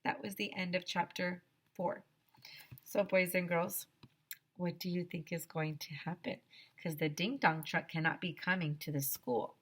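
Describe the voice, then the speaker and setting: Female teacher storyteller: unhurried, slightly low pitch, emphatic and dramatic read-aloud for young children. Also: fairly even intonation.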